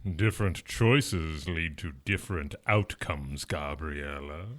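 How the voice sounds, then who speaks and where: A man's voice: a bass voice, takes it slowly, fairly bright tone, full character voice. A man playing a sly, detached fallen angel in an audio drama, cool and sardonic.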